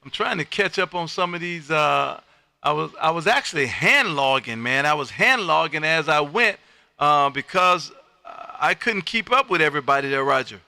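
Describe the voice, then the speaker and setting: A man hosting casual amateur radio chat, with a loud, folksy, animated patter. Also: a little high, moderate pace, gravelly, no echo. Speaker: amateur radio operator and livestream host. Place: home radio room.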